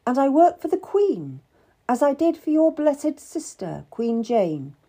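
A woman's voice: high-pitched, very animated, moderate pace, mellow, husky, pitched above the performer's own voice, full character voice. A woman voicing an earnest Tudor servant woman, urgent and beseeching, in a dramatised audiobook. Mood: proud, earnest.

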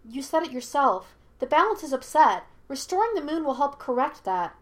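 A woman's voice: high-pitched, big pitch swings, moderate pace, pitched above the performer's own voice. Woman playing a stern, proud warrior princess. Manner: stern, defiant.

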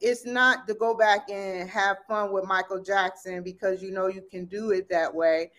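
A woman's voice: medium pitch, fairly even intonation, moderate pace, fairly bright tone. A woman in her thirties, a podcast guest, talking in a rambling, enthusiastic way and laughing often. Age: 30s. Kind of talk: casual chat.

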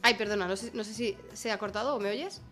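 A woman's voice: mid-range voice, fast talker, soft background music. Chatty woman host. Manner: chatty, lively storyteller. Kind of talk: casual chat, storytelling. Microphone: video call.

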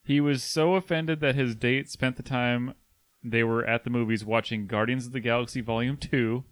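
A man's voice: medium pitch, moderate pace, no echo. A man hosting a comedy podcast, reading out a court story with an easygoing manner. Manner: laughing, lively reading. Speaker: host reading the case. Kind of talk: reading a news story aloud, casual podcast.

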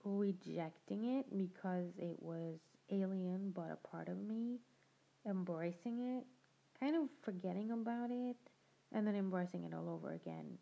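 A woman's voice: fairly low pitch, a little slow, gravelly. A young adult woman hosting a podcast, telling her own story in a reflective, conversational way and laughing now and then. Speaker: podcast host. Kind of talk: personal storytelling monologue. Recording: dull lo-fi sound.

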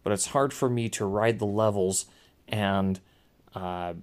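A man with a naturally loud voice hosting a casual solo podcast, hesitant and often correcting and rephrasing himself as he goes. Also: lowish voice, slow pace. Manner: hesitant, self-correcting, circling back to rephrase. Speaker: podcast host. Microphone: small handheld recorder or podcast microphone.

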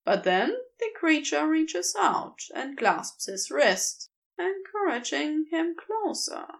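A woman reading a fantasy story aloud, with unhurried and expressive storytelling. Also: sits high, vocal fry. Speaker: podfic reader. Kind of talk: scripted story narration.

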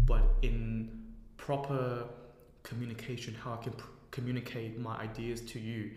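A man's voice: mid-range voice, flat delivery, normal speed, darkish tone. Man who rambles and gropes for words, reverb-heavy room. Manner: rambling, searches for words, many "like"s. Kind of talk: casual chat. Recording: echoey room with long reverb.

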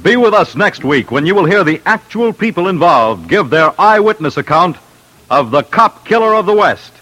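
A man's voice: high-pitched, very animated, normal speed, sharp tone, low-quality recording. A man announcing a dramatic teaser in old-time radio style. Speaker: announcer of next week's episode. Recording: old radio recording with music.

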